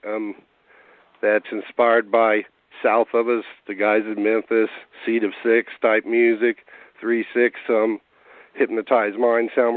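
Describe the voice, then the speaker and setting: A hoarse man rambles easily through his news, with plenty of fillers. His voice comes through a tinny phone line with a dry, close sound. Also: mid-range voice, dry recording. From Cincinnati area. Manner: laid-back, rambling with fillers. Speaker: guest rapper. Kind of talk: casual interview over phone.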